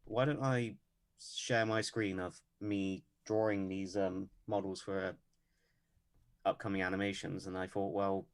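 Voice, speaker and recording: Low, flat deadpan man. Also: smooth. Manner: measured, lots of ums and hedges. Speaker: animator and podcast guest. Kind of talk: casual interview chat.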